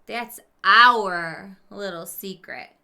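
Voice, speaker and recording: Woman acting loud, excitable schoolkids. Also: mid-range voice, extremely slow, gravelly, exaggerated voice. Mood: eager. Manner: loud, emphatic exclaiming. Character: excitable schoolchildren guessing and exclaiming. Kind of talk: picture-book read-aloud for children.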